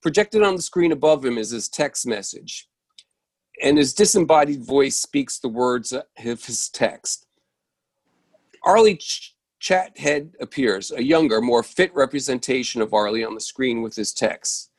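A man's voice: mid-range voice, expressive, a little slow, no room sound. A man reading a play's stage directions aloud, occasionally stumbling over words and correcting himself. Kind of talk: reading a play script aloud.

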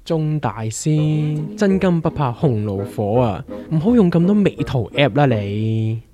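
A man with a Hong Kong accent playing an excitable, teasing veteran small investor in a comic radio drama, with slangy, theatrical delivery. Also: on the high side, unhurried, music in the background. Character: excitable male veteran retail stock trader, teasing know-it-all.

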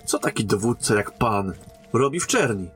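An actor playing a courteous royal emissary, a captain, in a fantasy audio drama, speaking formally and earnestly. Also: male voice, lowish voice, animated, normal speed, faint music behind, natural voice. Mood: calm, concerned.

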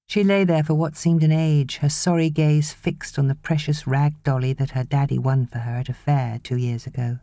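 A woman narrating an audiobook novel in an expressive, measured storytelling manner. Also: a bass voice, dry recording.

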